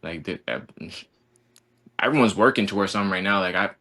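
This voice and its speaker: A man's voice: low voice, brisk, bright, forceful tone. A man in his twenties, a college basketball player, talking in a rambling, earnest way and saying "like" constantly. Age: 20s. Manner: rambling, heavy use of "like", earnest. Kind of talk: casual podcast conversation.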